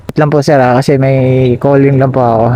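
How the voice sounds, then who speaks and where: A man's voice: mid-range voice, steady tone, brisk, dull audio. A Filipino man chatting casually and politely as a rider on a helmet intercom. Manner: polite, deferential. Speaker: motorcycle taxi rider and vlogger. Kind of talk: casual chat with a passenger over intercom. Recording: loud music under the voice.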